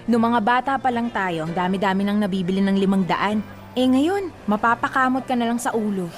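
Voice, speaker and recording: A woman's voice: mid-range voice, fairly quick, faint music behind, lightly acted. Radio drama: actress as a prying neighbor woman, chatty and blunt. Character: nosy, talkative married neighbor woman.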